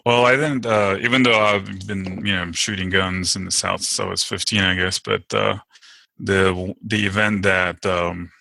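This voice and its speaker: Deep gravelly Turkish-born man, hesitant. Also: level tone, measured pace, pushed and bright, dry recording. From Turkey. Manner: hesitant, many fillers and restarts. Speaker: podcast guest. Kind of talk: casual interview answers.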